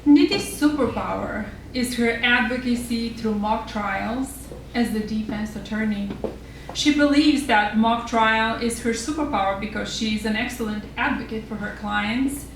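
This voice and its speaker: A woman's voice: on the high side, measured pace. A woman hosting a stage event, reading a scripted introduction for the next speaker. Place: echoey room, live stage event. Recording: very echoey room, distant mic, noisy.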